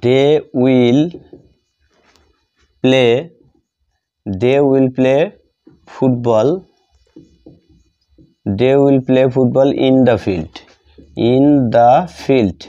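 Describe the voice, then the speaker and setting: A male teacher whose first language is Bengali explains a lesson step by step. His voice is mellow and medium-pitched, and he goes slowly, repeating terms as if writing them out. The recording sounds slightly dull and lo-fi. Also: fairly even intonation, clear, resonant tone. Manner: deliberate, repetitive, dictating term by term. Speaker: English grammar teacher.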